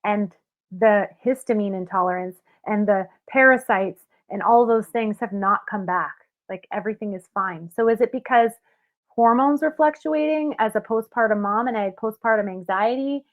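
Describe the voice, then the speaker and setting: A woman's voice: mid-range voice, moderate pace. A woman speaking candidly and self-mockingly in a casual chat, with many fillers. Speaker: guest reacting to her old video. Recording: tinny phone-like call audio.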